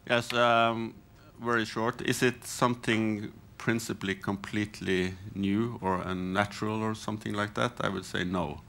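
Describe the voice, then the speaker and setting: A man's voice: lowish voice, steady tone, moderate pace, vocal fry, few pauses, fairly bright tone. A man in his fifties giving a short, plain answer on a conference panel, using rhetorical questions. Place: conference hall panel stage. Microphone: headset mic.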